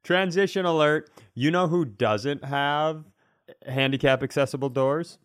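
A man co-hosting a comedy podcast, chatting casually and telling rambling, animated stories with self-aware rants. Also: fairly high pitch, unhurried, clear, resonant tone, no room sound, clean studio sound.

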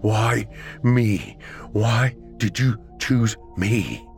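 A man's voice: mid-range voice, steady tone, drawn-out words, vocal fry, a little mellow, soft background music, pitched above the performer's own voice, full character voice. A man voicing a desperate, hunted man in a horror story, pleading urgently in a strained voice. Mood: anguished.

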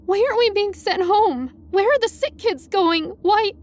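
A woman voicing an anxious, frightened teenage schoolgirl with a squeaky, urgent, pleading delivery in a dramatic story reading. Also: animated, normal speed, fairly bright tone. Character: panicked teenage schoolgirl narrator, anxious and insistent.

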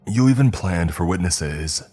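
A man's voice: sits low, animated, unhurried, breathy edge, faint music behind, heavily acted. A man voicing a panicked, angry husband who denies murder and mocks his accuser. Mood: mocking. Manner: defensive, scoffing, shouting.

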